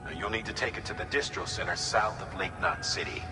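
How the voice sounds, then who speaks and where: An actor playing a steady, authoritative commander who briefs a courier in a measured, businesslike way in a game. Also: male voice, low-pitched, music in the background, close to an everyday voice. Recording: long echo with clear background noise.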